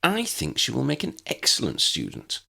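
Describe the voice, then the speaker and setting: A man's voice: medium pitch, huge pitch swings, normal speed, creaky, pushed and bright, voice pushed up, heavily acted. An earnest and bossy young witch from a storybook , acted out by a man. The voice is lifted and swoops emphatically, clever and insistent. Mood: insistent, scolding. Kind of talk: storybook character acting.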